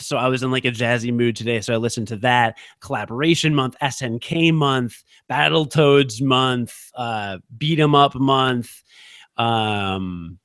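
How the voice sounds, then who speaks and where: A man's voice: medium pitch, a bit bright, dry recording, clean studio sound. A man co-hosting a casual podcast, rambling with many restarts and fillers as he recommends things. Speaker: podcast co-host.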